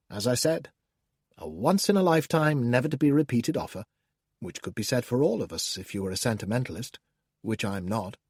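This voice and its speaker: A scornful, menacing demon, read by a man in an audiobook talks straight at the reader in a mid-range voice. He taunts and sneers, swinging from low hushed menace to loud outbursts. The recording is close and completely dry. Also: no room sound, close to an everyday voice. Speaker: audiobook narrator. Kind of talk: first-person audiobook narration.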